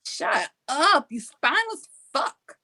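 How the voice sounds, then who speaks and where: A woman playing a brash, foul-mouthed, scheming tough criminal at a script table read, blunt and sassy, with a gravelly voice. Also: high-pitched, sing-song, slow pace, sharp tone, heavily acted. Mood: defiant, threatening.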